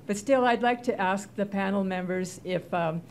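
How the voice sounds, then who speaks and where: An older woman moderating a conference panel, asking a question haltingly with many fillers into a handheld mic. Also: medium pitch, darkish tone, slight background noise. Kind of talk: hesitant spoken question to a panel. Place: conference room, seated at panel table.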